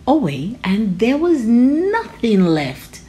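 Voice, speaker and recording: Woman doing storytime for kids, slow and super expressive. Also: on the high side. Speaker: children's story reader. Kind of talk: expressive read-aloud narration.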